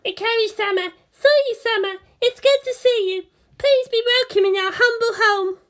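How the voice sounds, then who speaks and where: A small girl, put on by a woman narrator, pitched very high. She is prim and cheeky by turns, the two-faced youngest sister of an anime-style story. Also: normal speed, fairly bright tone. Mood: prim, polite. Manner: prim politeness over cheek.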